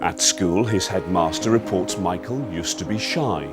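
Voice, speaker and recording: An actor playing a measured investigator, narrating a case file in an official manner for a dramatised film. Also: male voice, sits low, loud music behind the voice. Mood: grave, factual. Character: measured male investigator recounting a troubled youth's case.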